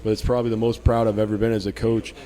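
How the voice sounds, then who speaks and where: A man's voice: lowish voice, flat delivery, rapid-fire. A man who coaches a college football team, talking candidly in a media day interview, often repeating and restarting words. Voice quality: loud voice when needed. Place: hotel at a media event.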